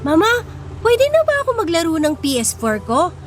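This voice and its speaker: A woman's voice: extremely high pitch, sing-song, normal speed, a little hiss or hum. A woman playing a sweet, affectionate little boy who admires his parents, in a Filipino radio drama. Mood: eager, affectionate. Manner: childlike, affectionate.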